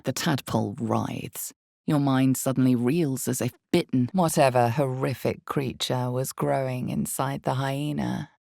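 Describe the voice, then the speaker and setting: Very deep, gravelly, ominous fantasy narrator. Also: female voice, dry recording, studio quality, heavily acted. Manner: measured, deliberate, dramatic, hushed emphasis. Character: omniscient female narrator, ominous, grave, slyly knowing. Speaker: game narrator. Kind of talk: scripted dramatic narration of a fantasy role-playing game.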